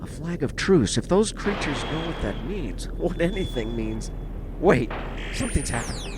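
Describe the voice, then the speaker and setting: A man's voice: fairly high pitch, big pitch swings, moderate pace. An actor playing a male radio field reporter describing events live, in breathless old-time radio drama style. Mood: tense. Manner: breathless eyewitness narration. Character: male radio reporter at a crash site, panicking. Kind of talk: 1930s radio drama acting. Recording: noisy old radio recording.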